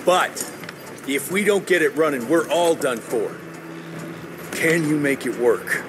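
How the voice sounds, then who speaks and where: An actor playing a gruff vampire-hunting cowboy in a video game, blunt and folksy, with dry sarcasm. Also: male voice, a little high, lively intonation, a little slow, noisy background. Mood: impatient. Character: gruff, sardonic vampire-hunting cowboy field agent, adult male. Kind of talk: video game cutscene acting.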